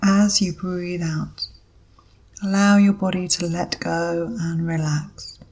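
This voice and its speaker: A woman leading a guided yoga nidra meditation as instructor, giving measured instructions in short phrases with pauses between them. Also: fairly low pitch, little pitch movement, darkish tone.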